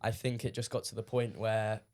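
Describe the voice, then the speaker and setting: A teenage man from the UK, a guest on a podcast, talking casually with lots of ums, his voice a little croaky from shouting. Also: fairly low pitch, moderate pace. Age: teens. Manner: relaxed, many ums, recounting matches. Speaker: guest player.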